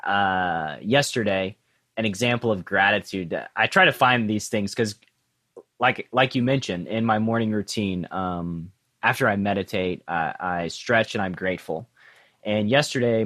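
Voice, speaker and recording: Low-voiced male podcast host, close dry sound. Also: a bit bright, no room sound. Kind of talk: casual conversational interview.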